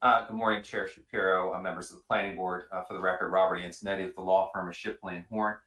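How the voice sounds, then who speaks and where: A man with a very deep but forceful voice addresses a public hearing as an attorney. He is courteous and halting in his phrasing. The room sounds echoey around him. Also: flat delivery. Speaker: attorney representing an applicant. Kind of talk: semi-formal public hearing remarks. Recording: roomy, echoing meeting room sound.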